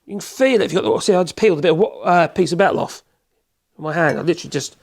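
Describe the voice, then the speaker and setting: A man with a cold, hoarse, chatting in a rambling way as he demonstrates in his workshop. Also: high voice, rapid-fire. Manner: rambling, chatty, self-correcting, chuckles. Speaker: woodworking channel host.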